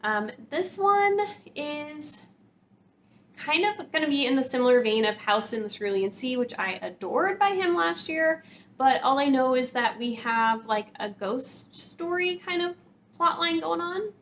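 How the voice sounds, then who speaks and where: A woman's voice: high voice, expressive, measured pace, a bit bright, slight background noise. A woman hosting a casual, chatty book vlog, talking informally to viewers with rambling, hedging asides. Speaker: book vlogger, channel host.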